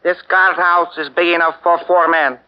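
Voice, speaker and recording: An actor playing a brisk, commanding male army officer in a 1940s radio drama, giving curt instructions. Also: on the high side, level tone, background noise, natural voice. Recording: dull old radio broadcast.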